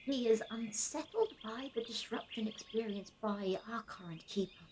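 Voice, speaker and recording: An actress playing a gracious, fretful ageing councillor in an audio drama, measured and formal, often sinking to a breathy whisper. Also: female voice, fairly high pitch, a little mellow, a little hiss or hum, natural voice. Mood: gently dismissive.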